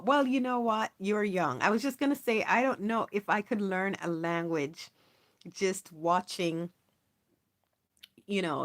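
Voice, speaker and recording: A woman hosting a casual live stream, reading viewers' messages aloud and chatting with them in an encouraging, upbeat way. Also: medium pitch. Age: adult, not young. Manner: warm, encouraging, reads chat aloud, chatty with laughs. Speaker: livestream host. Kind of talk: casual livestream chat with viewers.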